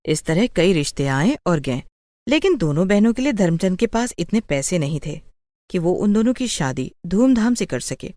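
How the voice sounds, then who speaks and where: A woman's voice: on the low side, sing-song, normal speed, dark tone. A woman narrating a scripted bedtime moral story.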